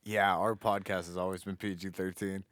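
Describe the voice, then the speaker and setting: A man's voice: deep voice, level tone, normal speed, a bit bright, no room sound, studio quality. An American man co-hosting a podcast, chatting and bantering casually. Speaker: podcast co-host.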